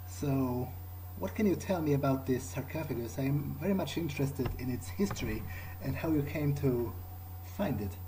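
A man improvising in character during a game playthrough, speaking in a measured, deliberate, hesitant manner. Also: mid-range voice, expressive, noisy background. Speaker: gamer role-playing his character.

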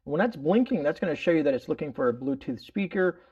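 A man's voice: a little high, expressive, fast talker, darkish tone, breathy edge, lo-fi sound. A middle-aged man hosting a casual product demonstration indoors, explaining in an informal, rambling way full of verbal tags. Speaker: host of a prepping product review channel. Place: indoors in a room at home.

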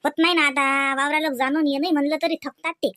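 A woman's voice: sits high, steady tone, fast talker, a bit bright, dry recording. A woman voicing a proud, grumbling elderly village mother-in-law in a cartoon, complaining colloquially with a Vidarbha Marathi accent. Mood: weary grumbling. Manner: colloquial, complaining, rhetorical questions. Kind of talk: cartoon comedy dialogue.

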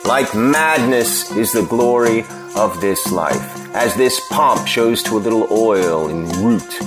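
A man's voice: fairly low pitch, expressive, slow pace, over music. A man playing a bitter, sardonic cynic, declaiming in a Shakespeare audio play reading. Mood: bitter scorn. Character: bitter, scornful male cynic railing at vanity.

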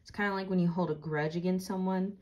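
A woman's voice: lowish voice, fairly even intonation, fast, a little mellow, highs cut off. A woman reading a storybook aloud and casually explaining it in simple words for children.

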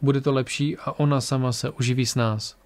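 A man voicing a young man who grew up poor, speaking quietly and earnestly. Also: medium pitch, steady tone, natural voice.

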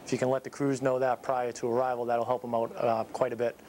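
A man in his thirties giving an informal training talk as an instructor, explaining things in a halting, matter-of-fact way. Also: medium pitch, flat delivery, a little mellow, slight background noise. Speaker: paramedic instructor. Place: small dispatch office, indoors. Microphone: clip-on lapel mic.

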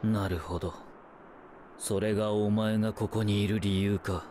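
A man voicing a serious, composed young ninja commander in an anime game, stern and deliberate. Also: on the low side, flat delivery, background noise, close to an everyday voice. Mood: serious, analytical. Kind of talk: Japanese anime game voice acting. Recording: background music bed.

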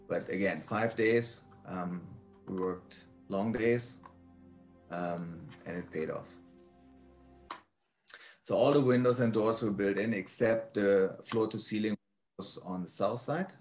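A man presenting slides informally, speaking haltingly with frequent ums as he explains his work. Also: deep voice, a little mellow, soft background music. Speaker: presenter, prefab builder.

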